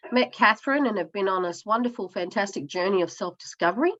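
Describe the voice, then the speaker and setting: A woman in her fifties introducing herself on a video call, reflective and halting with fillers. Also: mid-range voice, fairly bright tone. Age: 50s. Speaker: coaching group member. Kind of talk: casual self-introduction. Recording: dull lo-fi video call audio.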